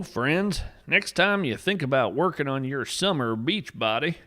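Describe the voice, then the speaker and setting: A man performs an eccentric, folksy naturalist hosting a storytelling podcast. His somewhat high voice rises and falls with great animation. He treats everything with earnest wonder and tongue-in-cheek theatrical grandeur. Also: fairly bright tone. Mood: folksy enthusiasm. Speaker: podcast host. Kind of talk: theatrical scripted storytelling narration.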